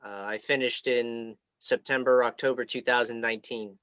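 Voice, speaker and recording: A young American man from Kansas, an alumnus answering questions on a student panel in a relaxed way with plenty of ums. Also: mid-range voice, normal speed, clean tone, dry recording, highs cut off. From Kansas, United States. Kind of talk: conversational Q&A answers.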